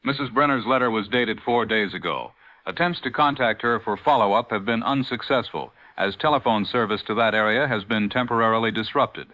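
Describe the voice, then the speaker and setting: A man playing a grave, middle-aged 1940s radio news anchor, formal and measured, through an old radio filter. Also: lowish voice, pushed and bright. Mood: solemn, official. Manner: formal, measured newsreader delivery. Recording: dull lo-fi vintage radio broadcast.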